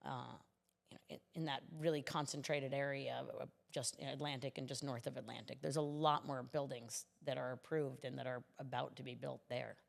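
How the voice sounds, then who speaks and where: A woman's voice: rumbling low, steady tone, a little fast, creaky, long reverb tail. A woman speaking as a city commissioner in a conversational interview, explaining things earnestly.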